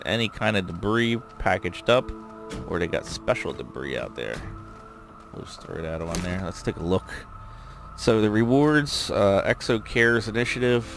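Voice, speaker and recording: Low, gravelly man narrating gameplay. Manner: thinking aloud, rambling with fillers. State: losing his voice. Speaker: gameplay commentator. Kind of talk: casual let's-play commentary.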